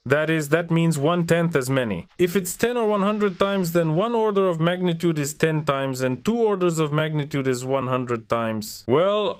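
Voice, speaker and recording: A man's voice: on the high side, smooth, dry recording. A man whose native languages are Ukrainian and Russian, hosting a sarcastic commentary video with mocking asides. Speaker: commentary channel host.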